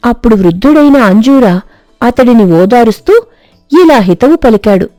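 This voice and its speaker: A woman's voice: fairly high pitch, animated, normal speed, warm tone, no room sound. A woman reading a scripted moral story as its narrator. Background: music.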